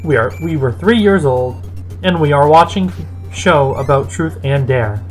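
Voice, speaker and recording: A woman's voice: sits low, animated, measured pace, darkish tone, faint music behind. A woman reading a fan fiction story aloud as narrator.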